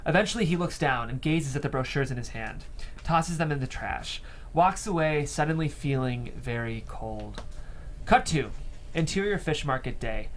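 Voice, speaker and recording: Male stage-direction reader, slightly high voice, scripted narration, faint room noise. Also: lively intonation, moderate pace. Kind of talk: scripted narration at a table read.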